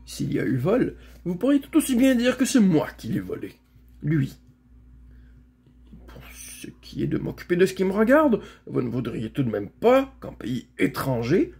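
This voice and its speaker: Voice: mid-range voice, slightly rough, heavily acted. A storybook reader voicing a proud, gallant male talking horse in an emphatic, formal manner.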